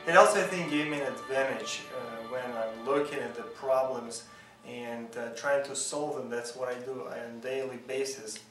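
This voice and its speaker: Dentist in his thirties, Russian accent, hesitant interview answers, mid pitch, far from mic in a roomy space. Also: fairly even intonation, moderate pace, fairly bright tone. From former Soviet Union. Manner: earnest, hesitant with many fillers. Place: dental office reception area, indoors. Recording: roomy echo, off-mic, music behind.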